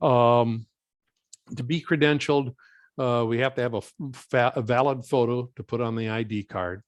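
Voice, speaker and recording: A man speaking as a guest presenter, answering questions informally in a plain-spoken, halting, step-by-step way. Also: medium pitch, fairly even intonation, no echo. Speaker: guest presenter and volunteer coordinator.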